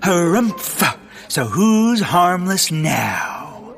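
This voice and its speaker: An actor playing an earnest, bumbling amateur sleuth in a comedic period audio drama, full of awkward bravado. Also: male voice, sits high, very animated, drawn-out words, creaky, fairly bright tone, faint music behind, exaggerated voice. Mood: mock-brave.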